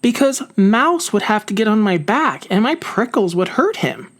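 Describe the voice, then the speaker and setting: A woman's voice: mid-range voice, very animated, normal speed, voice pushed up. A woman voicing a sensible, bossy but caring hedgehog in a storybook, emphatic and reassuring. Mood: urgent.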